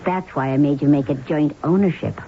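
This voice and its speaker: A woman's voice: low voice, a little fast. An actress playing a bitter, cutting American wife in a 1970s radio drama, dismissive of her gambler husband. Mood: scornful, cold. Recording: noisy, dull vintage radio broadcast.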